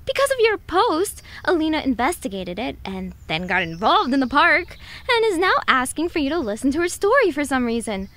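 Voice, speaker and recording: A woman playing an earnest, caring younger sister, a student, in an audio drama, with an expressive and insistent delivery. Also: sits high, brisk, hardly any pauses. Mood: excited.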